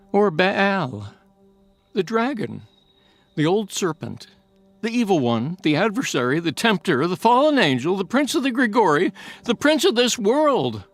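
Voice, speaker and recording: A man's voice: sits high, no echo, full character voice. A man playing a fearless elderly scholar in an audiobook, speaking with eloquent, theatrical mockery. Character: elderly learned scholar, fearless, wry and taunting. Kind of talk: dramatized audiobook acting.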